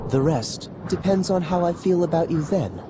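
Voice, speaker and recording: An actor playing an aloof, ancient overseer of a trial in a video game, speaking in a stately, archaic, measured way. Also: male voice, on the high side, lively intonation, background noise, close to an everyday voice. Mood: aloof, testing. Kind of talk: dramatic video game voice acting. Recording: music behind the voice.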